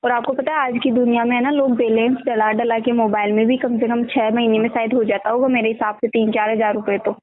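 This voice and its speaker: A woman explaining a sales pitch on a phone call, often asking for agreement. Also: flat delivery, fairly quick, few pauses. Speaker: network marketing recruiter. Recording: tinny phone call recording.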